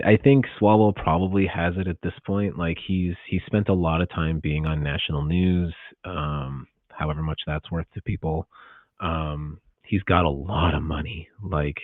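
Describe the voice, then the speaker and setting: Male podcast co-host, American, very deep voice, informal and hedging, dry, slightly dull recording. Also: dry recording. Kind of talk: casual political discussion.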